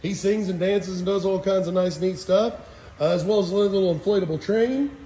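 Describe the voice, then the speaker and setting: A man's voice: high voice, a little fast, mellow, a little hiss or hum. A man in his forties giving a casual, folksy auction walkthrough, listing items for viewers on a handheld phone. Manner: folksy, addresses viewers as guys. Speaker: auction house presenter. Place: large indoor auction hall and warehouse. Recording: echoey warehouse hall reverb.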